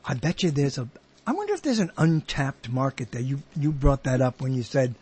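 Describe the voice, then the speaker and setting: A man hosting a conversational interview, speaking in a halting, rambling way and often repeating himself. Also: medium pitch, big pitch swings, dark tone, husky. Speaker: interviewer, host.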